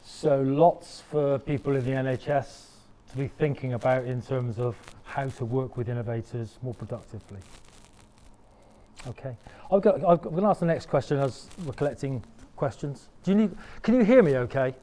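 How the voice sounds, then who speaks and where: A man moderating a panel, speaking loudly without a microphone. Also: mid-range voice, normal speed. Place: conference room.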